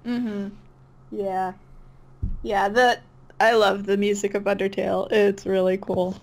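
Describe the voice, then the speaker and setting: A woman's voice: medium pitch, little pitch movement, slow. A woman chatting casually as an interview guest, agreeable and hesitant, often trailing off. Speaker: interview guest, online comic dub creator. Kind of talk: casual chat.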